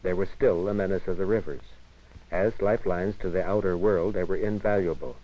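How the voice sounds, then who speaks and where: An American man narrating a 1950s educational film in a measured, formal, literary manner. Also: deep voice, steady tone, mellow. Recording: dull 1958 film soundtrack, radio-like.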